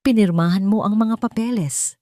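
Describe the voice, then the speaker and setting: A woman's voice: medium pitch, moderate pace, warm tone, dry recording, studio quality, pitched above the performer's own voice, lightly acted. Woman playing a stern, cold male doctor. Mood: cold anger. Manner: firm, cold, controlled.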